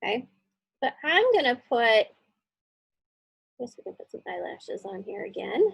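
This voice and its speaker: A woman's voice: a little high, sing-song, normal speed, gravelly, slightly rough, dry recording, low-quality recording. A woman teacher casually talking children through a drawing step by step, thinking aloud and often checking in with little questions.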